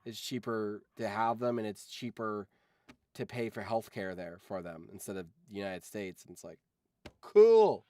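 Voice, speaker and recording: A man's voice: on the low side, animated, moderate pace, mellow. A man chatting casually on a livestream as a video editor, rambling along and swearing freely.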